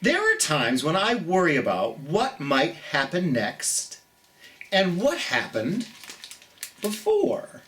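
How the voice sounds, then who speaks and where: Slow, expressive children's storytime host. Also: medium pitch, slight background noise. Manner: gentle, theatrical, speaking directly to children with drawn-out emphasis. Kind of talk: live storytime for children, chatting and reading a picture book aloud.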